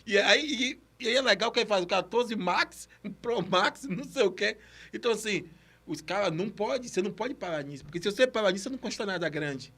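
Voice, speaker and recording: A man's voice: high voice, animated, fast talker, sharp tone. A man with a Brazilian accent and a slight stammer, chatting casually and motivationally in a slangy, exhortative way on a podcast. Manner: slangy, exhortative, repeats himself. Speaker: podcast speaker on entrepreneurship.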